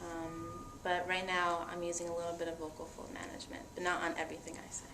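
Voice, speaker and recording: A woman's voice: on the low side, monotone, fast talker. A young woman talking earnestly and reflectively about herself in a conversation. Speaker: interviewee. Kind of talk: personal testimony in conversation. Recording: echoey room with faint noise.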